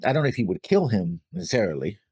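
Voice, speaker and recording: Man, very low voice, lively swooping pitch, husky, casual co-host commentary, halting phrasing. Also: no echo, studio quality. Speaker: co-host of a reaction show. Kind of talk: casual discussion and episode commentary.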